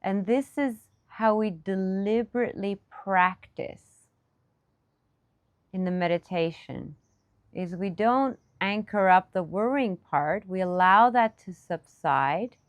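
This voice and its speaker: A woman leading a guided meditation in a soothing, gentle manner, speaking in short unscripted phrases with long pauses. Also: mid-range voice, dry recording. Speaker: meditation teacher.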